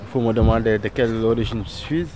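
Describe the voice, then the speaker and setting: A man from Senegal answering a street interview, explaining calmly and plainly. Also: medium pitch, quick pace. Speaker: passerby. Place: outdoors on a street.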